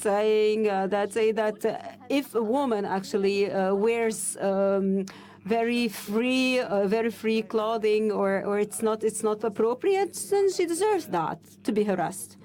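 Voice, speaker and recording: A woman interpreting a panel discussion live, in halting, stop-start phrases with frequent restarts. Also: mid-range voice, darkish tone. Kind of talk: simultaneous interpretation of a panel talk.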